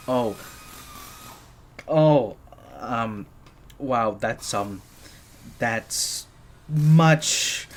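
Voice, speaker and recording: A performer voicing a nervous, flustered young gadget engineer in an ASMR roleplay, stammering shyly and breaking off mid-phrase. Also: mid-range voice. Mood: flustered, embarrassed.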